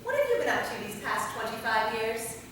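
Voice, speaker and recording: A woman playing a warm, chatty centuries-old vampire in a staged play reading, conversational and gently probing. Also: on the high side, very animated, fairly quick. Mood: chatty, teasing. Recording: echoey hall, distant mic, faint noise.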